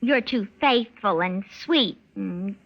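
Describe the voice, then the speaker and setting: Woman playing a slow, coy sweetheart. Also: mid-range voice, expressive. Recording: muffled old radio recording.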